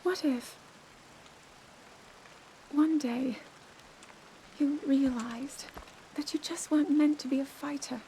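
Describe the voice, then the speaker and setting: Earnest young princess, high but mellow voice, bit of hiss. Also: female voice, steady tone, takes it slowly, long pauses, low-quality recording. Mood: pensive. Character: earnest young princess scholar, anxious, thoughtful. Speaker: game character. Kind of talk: game cutscene dialogue.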